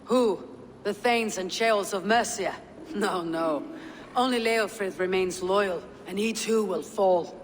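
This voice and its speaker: An actress playing a tough, shrewd Viking raider in a video game, with a dry, measured, taunting manner. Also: female voice, medium pitch, natural voice. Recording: long reverb, faint noise.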